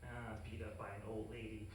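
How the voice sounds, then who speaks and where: A man playing a gleefully wicked, power-hungry scientist in a comedic animated show table read. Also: fairly low pitch, normal speed, natural voice. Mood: gleefully mocking. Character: gleefully wicked male scientist craving power, secretly scheming. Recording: very echoey room, distant mic, hissy noise.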